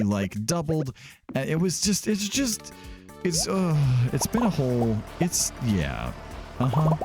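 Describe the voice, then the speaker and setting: A man hosting a gaming let's play in a casual, rambling, self-deprecating way, stopping and restarting as he goes. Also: medium pitch. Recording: music behind the voice. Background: background music.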